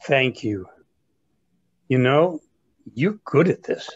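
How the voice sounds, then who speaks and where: Man as skeptical teen boy, hesitant, questioning, lively intonation, reader's theatre dialogue, dull video-call audio. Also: mid-range voice, slow pace, breathy edge. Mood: thoughtful, questioning.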